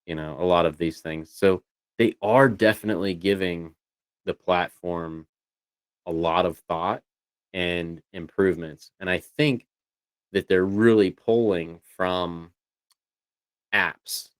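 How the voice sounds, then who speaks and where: Deep voiced guy, clean and smooth, rambling casual stream chat, really dry close sound. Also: fairly even intonation, slow pace, long pauses, dry recording. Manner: rambling, hedging with many "you know"s. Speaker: co-host of a voice tech show.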